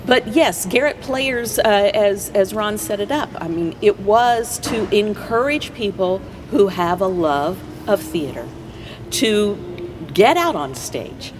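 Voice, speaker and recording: A woman guest in a conversational interview, explaining things agreeably in halting phrases. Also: medium pitch, animated. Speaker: community theater player, interview guest. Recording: faint noise and background ambience.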